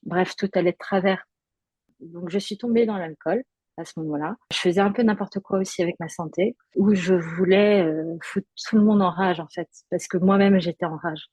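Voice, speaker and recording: Husky woman speaking hesitantly. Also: on the low side, steady tone, normal speed, a little mellow, dry recording. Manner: hesitant, frequent fillers and restarts. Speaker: guest. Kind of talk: personal testimony in conversation.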